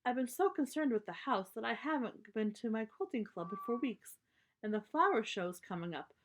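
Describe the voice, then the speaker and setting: A woman's voice: a little high, animated, moderate pace, slight background noise, natural voice. Woman reading as breezy, cheerful storybook mama bear. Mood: agreeable, breezy. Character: cheerful, easygoing mother bear, wrapped up in hobbies. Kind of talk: children's storybook read-aloud.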